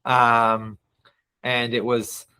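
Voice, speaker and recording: A man in his thirties who grew up between the US and Israel, a podcast guest telling stories in a reflective, halting way. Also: mid-range voice, monotone, sharp tone, clean tone. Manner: reflective, many restarts and fillers. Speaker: guest, nonprofit CEO. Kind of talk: interview.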